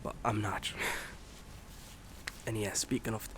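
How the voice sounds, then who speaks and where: A man in his late twenties, a streamer rambling in a soft-spoken, candid way, swearing casually and slipping into whispers. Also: lowish voice, flat delivery, takes it slowly, background noise. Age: 20s. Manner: candid, swears casually, many fillers and restarts; drifts between soft speech and whisper.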